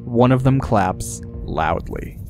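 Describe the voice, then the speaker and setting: Male audiobook narrator, scripted fantasy story, medium pitch, faint music underneath. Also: animated, slow, a little mellow.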